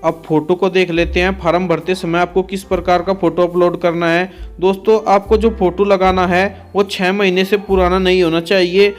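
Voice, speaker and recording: A man giving a methodical informational explanation, restating points so they are clear. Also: high-pitched, little pitch movement, moderate pace, few pauses, soft background music. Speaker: exam and recruitment explainer, host.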